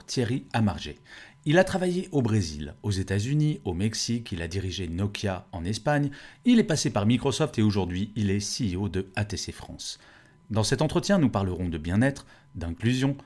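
A man's voice: on the low side, a little mellow. A man in his forties hosting a podcast interview, enthusiastic and a little theatrical. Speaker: podcast host.

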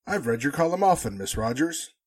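A man's voice: fairly high pitch, big pitch swings, fairly quick. A man playing a chatty, wisecracking radio announcer in a 1940s-style radio drama. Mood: chatty, joking. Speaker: actor in an amateur radio drama re-creation.

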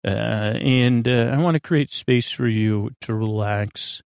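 A man hosting a podcast, delivering a meandering, halting bedtime monologue in a reassuring, gently encouraging way, often trailing off and doubling back. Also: medium pitch, vocal fry, clear, resonant tone, dry recording, clean studio sound. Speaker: podcast host. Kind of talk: rambling bedtime monologue meant to help listeners sleep.

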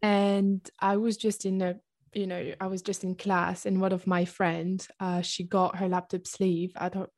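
A woman in her twenties from France, speaking English with a French accent in a casual interview, informal and full of hesitant fillers. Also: on the low side. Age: 20s. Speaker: podcast guest, young entrepreneur.

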